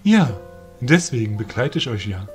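A man's voice: medium pitch, very animated, faint music behind, close to an everyday voice. A man acting a proud, courteous fairy soldier guide with a formal manner in a fantasy audio drama. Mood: courteous, helpful. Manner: measured, formal.

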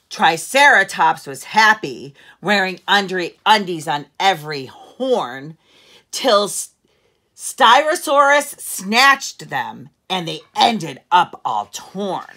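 A middle-aged woman reading a picture book aloud to children like a teacher, with deliberate storyteller phrasing and strong emphasis. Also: medium pitch, sharp tone. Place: living room at home, seated in an armchair.

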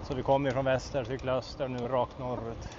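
A man's voice: mid-range voice, muffled recording, noisy background. A man, casually narrating a cycling trip outdoors and pointing out sights along the road as he rides. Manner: relaxed, switches between Swedish and English, points out sights along the route. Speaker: vlogger and tour guide. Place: outdoors on a bicycle along country roads.

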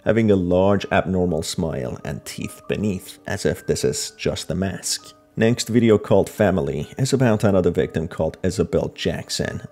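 A man's voice: low-pitched, normal speed, hardly any pauses, dark tone, soft background music. A man narrating a scripted crime horror story recap.

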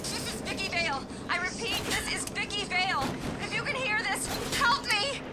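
A woman's voice: very high voice, normal speed, very noisy. An actress playing a determined female TV news reporter in a video game, urgent and articulate. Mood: panicked. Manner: urgent, articulate broadcast delivery.

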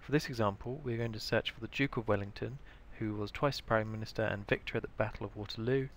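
A man's voice: on the low side, steady tone, fast, a little hiss or hum. A British man giving scripted instructional narration as the presenter of a training tutorial. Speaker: information librarian, tutorial presenter.

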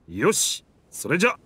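A man's voice: sits high, bright, forceful tone, slightly rough, dry recording, exaggerated voice. A man voicing a game character, the proud, wistful spirit of an old pirate warship, with rugged, old-fashioned, theatrical speech. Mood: hearty.

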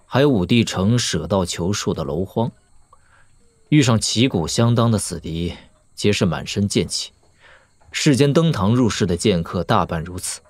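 A man playing an assured young lord and master swordsman in a Chinese wuxia audio drama, measured, analytical and dryly witty. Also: low-pitched, dry recording.

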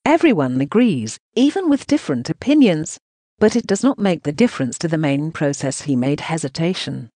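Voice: mid-range voice, very animated, moderate pace, warm tone, no echo. A synthetic text-to-speech voice reading translated news narration in a choppy way, speaking punctuation marks aloud.

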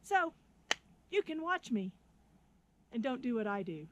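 Middle-aged woman, slightly high, chatty and upbeat, casual vlog, outdoor handheld camera. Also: very animated, a little slow. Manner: chatty, laughs easily, upbeat. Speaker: vlogger, history teacher. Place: outdoors at a windy off-grid camp. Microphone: camera at arm's length.

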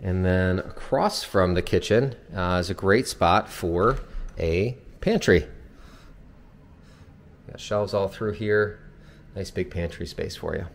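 A man's voice: low-pitched, takes it slowly, creaky, long pauses. A man giving a casual, unscripted, explanatory house tour as a home builder's representative. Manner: informal, explanatory. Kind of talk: casual unscripted walkthrough narration. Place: empty new house, indoors. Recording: echoey empty house interior, long reverb.

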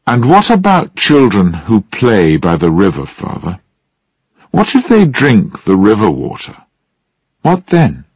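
A man voicing an earnest grown daughter who softly questions her father, in an audiobook. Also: fairly low pitch, huge pitch swings, measured pace, husky, dry recording, heavily acted. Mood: challenging. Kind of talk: audiobook character dialogue.

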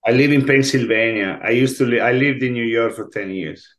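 A man's voice: medium pitch, brisk. A man in his forties with an Italian accent, chatting as a guest chef over a video call from home. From Italy. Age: 40s. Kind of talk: casual interview chat. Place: home room, indoors. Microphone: video call, laptop mic.

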